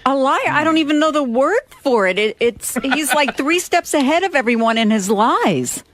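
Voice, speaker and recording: A woman's voice: high-pitched, expressive, moderate pace. A woman talking casually on a radio show in a disapproving manner. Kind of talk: casual talk.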